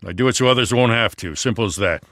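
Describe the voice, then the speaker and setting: A man's voice: on the low side, quick pace, a bit bright, no echo, clean studio sound, lightly acted. A man with an American accent voicing a weary, principled police lieutenant in a video game, plain-spoken and earnest. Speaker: voice actor. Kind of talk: video game dramatic dialogue.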